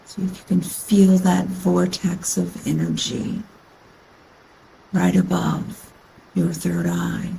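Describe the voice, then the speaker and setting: A woman guiding a yoga nidra meditation in a soothing, unhurried manner with long pauses, her phrases trailing into breathiness. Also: fairly low pitch, flat delivery, gravelly, mellow, slight background noise, rough recording. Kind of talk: guided meditation.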